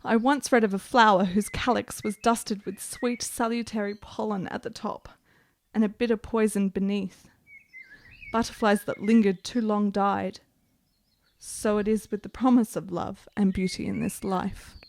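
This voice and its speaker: An actress playing an ailing, bitter and morbid young gentlewoman in a period radio drama, with formal, dramatic delivery. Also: mid-range voice, fairly even intonation, moderate pace, natural voice. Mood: wistful, melancholy.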